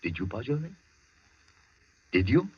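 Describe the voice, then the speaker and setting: Flustered gentleman, low and husky. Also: sing-song, a little slow, heavily acted. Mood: alarmed. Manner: hesitant, exclamatory, old-fashioned politeness. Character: flustered, loyal gentleman friend of the accused. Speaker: radio actor. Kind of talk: 1940s radio acting. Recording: muffled, dry vintage radio recording.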